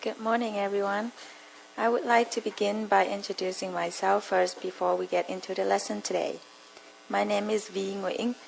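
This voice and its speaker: A woman's voice: mid-range voice, little pitch movement, moderate pace, gravelly, slight background noise, rough recording. A young woman from Vietnam with a Vietnamese accent, giving a prepared classroom presentation in a formal, carefully enunciated manner. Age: young adult. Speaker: student presenter.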